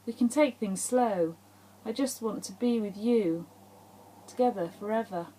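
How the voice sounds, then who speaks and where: A heartbroken young woman in an audiobook romance, acted by a woman narrator, pleads with her lover. Her voice is pushed higher and louder, with a desperate, imploring edge, over a faint background hum. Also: a little slow, close to an everyday voice, poor audio. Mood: pleading, desperate. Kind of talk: dramatised audiobook dialogue.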